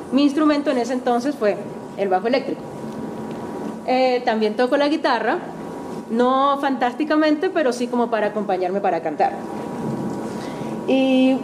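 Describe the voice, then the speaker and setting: Venezuelan woman guest speaker, bright-ish higher voice, chatty and self-mocking, noisy hall recording. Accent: Venezuelan Spanish. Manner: chatty, self-deprecating, with light laughs. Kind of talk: lecture talk to a class. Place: university auditorium.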